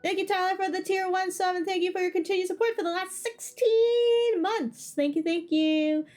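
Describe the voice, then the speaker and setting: A woman's voice: very high-pitched, steady tone, moderate pace, a little mellow. A woman livestreamer chatting casually with her viewers, often repeating short phrases. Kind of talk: casual chat with stream viewers. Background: game music.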